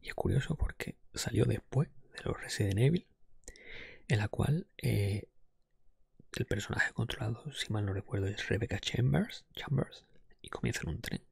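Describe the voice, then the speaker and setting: A man talking in a casual, breathy ASMR whisper close to the microphone, thinking aloud with some hesitation. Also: on the low side, normal speed, no echo. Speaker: ASMR podcast host. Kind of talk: whispered ASMR talk, casual game ranking.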